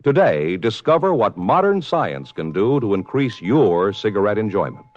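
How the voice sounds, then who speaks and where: Dry, animated 1950s radio ad man. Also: on the low side, moderate pace, no room sound. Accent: American. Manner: polished, emphatic selling. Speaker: commercial announcer. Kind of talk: 1950s radio advertisement pitch. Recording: slightly dull, dry vintage radio recording.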